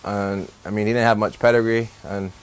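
A young man with a Barbadian accent talks fast in a low voice, rambling and restarting as he recalls his life in a relaxed interview. He sounds like a horse trainer chatting freely with a host. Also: smooth. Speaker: racehorse trainer. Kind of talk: casual interview.

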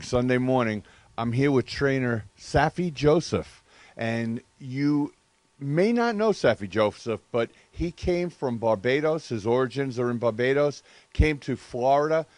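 A man's voice: medium pitch, darkish tone, dry recording. A man hosting a horse racing interview show, introducing a guest and asking open questions conversationally. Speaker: show host.

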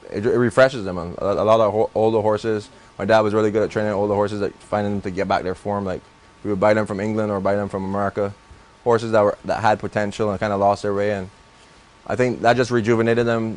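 A young man with a Barbadian accent talks fast in a low voice, rambling and restarting as he recalls his life in a relaxed interview. He sounds like a horse trainer chatting freely with a host. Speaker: racehorse trainer. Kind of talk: casual interview.

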